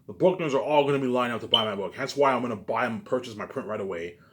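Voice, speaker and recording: A man's voice: medium pitch, fairly bright tone. A man giving a rambling, informal monologue to his viewers, repeating himself, with emphatic profane bursts that drop into muttered asides. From Canada. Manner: repetitive, halting, with habitual trailing tags. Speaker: vlogger.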